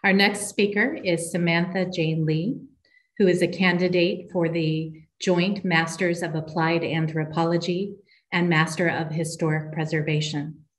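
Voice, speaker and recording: A woman's voice: on the low side, fairly even intonation, a little slow. A middle-aged woman dean hosting a virtual graduation, giving formal introductions of speakers. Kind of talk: ceremony introductions.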